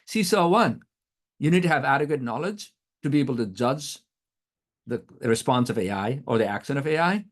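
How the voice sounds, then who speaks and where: A middle-aged man whose first language is Nepali lectures earnestly, posing question after question. His pitch swings widely as he talks, and he stumbles and restarts words often. His throat is irritated by allergy. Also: expressive, normal speed. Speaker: guest speaker, professor. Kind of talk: academic talk.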